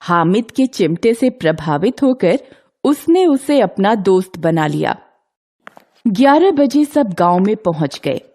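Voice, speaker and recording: A woman's voice: medium pitch, warm tone. A woman storyteller reading a scripted narration for a children's tale.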